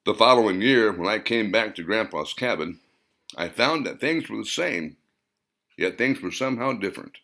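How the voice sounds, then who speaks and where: A man's voice: fairly low pitch, expressive, moderate pace, pushed and bright. A man voicing an earnest male character who tells nostalgic, dramatic boyhood memories in an audiobook. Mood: earnest, suspenseful. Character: earnest male storyteller recalling boyhood summers with Grandpa.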